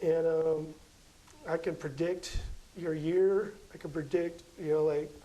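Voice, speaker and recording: Mellow, high-voiced American man explaining. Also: steady tone, unhurried, rough recording. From Las Vegas, United States. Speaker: guest astrology card reader. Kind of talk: casual interview chat.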